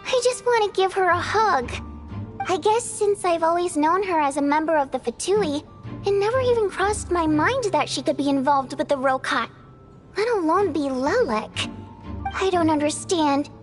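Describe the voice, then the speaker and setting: An excitable young woman in a video game. Her voice is extremely high, and she talks in a chatty, exclamatory way. Faint music plays underneath. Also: moderate pace. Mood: thoughtful, earnest. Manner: animated, talkative, exclamatory. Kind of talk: English video game dialogue acting.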